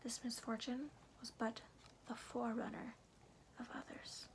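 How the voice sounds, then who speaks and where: A woman's voice: on the high side, flat delivery, unhurried, poor audio. Whispering woman reading an ASMR story. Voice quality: soft, breathy whisper. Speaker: storyteller reading a book chapter aloud.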